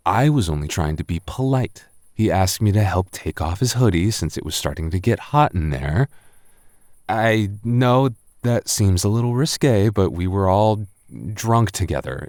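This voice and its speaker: A very low-pitched male roommate voiced by a man, tipsy and flustered, in an intimate roleplay audio. He stumbles over his words, defensive yet flirty, and sounds close and dry, with no room around him. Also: darkish tone, no room sound. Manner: stammering, defensive, conversational. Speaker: voice actor.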